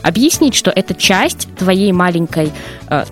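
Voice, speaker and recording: A woman psychologist, giving earnest advice in casual conversation, often pausing with hesitations. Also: fairly low pitch, sing-song, faint music behind. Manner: earnest, advising, with frequent hesitations. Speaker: psychologist guest. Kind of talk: casual talk show conversation.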